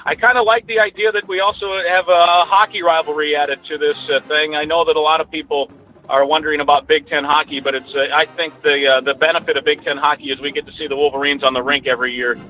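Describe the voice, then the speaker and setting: A man's voice: fairly high pitch, flat delivery, fast talker. A man, a radio sports announcer, giving conversational analysis as a guest over a remote call. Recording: dull lo-fi remote line, background noise.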